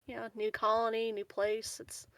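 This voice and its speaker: A woman's voice: fairly high pitch, completely flat delivery, normal speed, fairly bright tone, no echo. A woman playing an upbeat, casual space prospector in a tabletop game, rambling and hesitant. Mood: wistful, enthusiastic. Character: Simone, upbeat rambling young prospector from explorer family. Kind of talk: tabletop roleplay in character.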